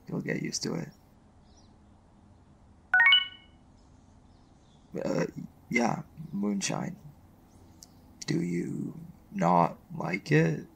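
Guy acting as a smitten college boyfriend, really gravelly, slow and halting, soft romantic asmr roleplay. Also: low voice, very animated, slight background noise, full character voice. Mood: tender teasing. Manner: soft, halting, stammering when flustered.

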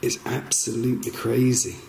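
A man's voice: medium pitch, monotone, normal speed, darkish tone. A man investigating the paranormal in a cave, commenting on what he hears and challenging spirits to respond. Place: inside a cave at night. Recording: clear background noise and ambience.

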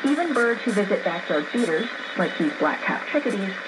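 A woman's voice: medium pitch, big pitch swings, a little fast. A woman reading scripted narration for a nature radio program, received over shortwave. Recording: very noisy shortwave radio reception.

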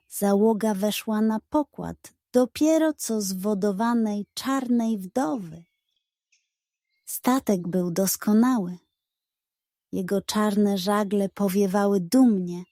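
A woman's voice: medium pitch, dark tone, no room sound, studio quality. A woman narrating a scripted bedtime story for children, as a storyteller. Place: close, dry recording.

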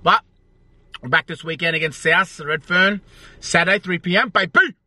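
A man's voice: sits high, moderate pace. A man in his thirties chatting casually to camera in an upbeat, slangy hype style. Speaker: vlogger and rugby league player. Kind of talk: casual vlog chat to camera. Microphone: handheld camera at arm's length. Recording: clear background noise, traffic and room sounds.

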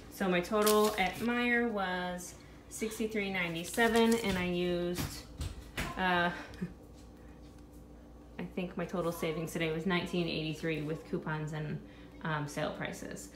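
A woman in her thirties, a mother of five, talking through her grocery haul at home and musing aloud over prices. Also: lowish voice. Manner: chatty, thinking aloud about prices. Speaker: vlogger. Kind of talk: casual grocery haul narration. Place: home kitchen. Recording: echoey room with steady background noise.

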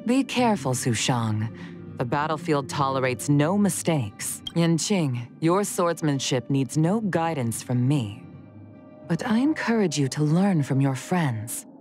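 A composed, mature commander from an anime game, in a low voice. She is formal and stern, with faint music underneath. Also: sing-song, a little slow, darkish tone.

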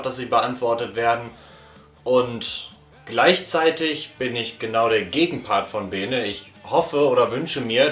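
Slow-talking young man, roomy room. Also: medium pitch, a bit bright. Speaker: co-host reviewing an audio drama. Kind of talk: casual review chat. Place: small living room, seated on a sofa. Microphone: far camera. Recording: roomy room sound, faint music.

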